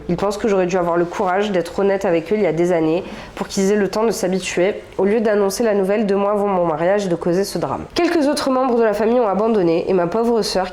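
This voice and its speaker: A woman's voice: mid-range voice, a little mellow, a little hiss or hum. Young woman, casual co-host; reads a story aloud, loose and chatty. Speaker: podcast co-host reading stories. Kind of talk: casual chat and reading aloud.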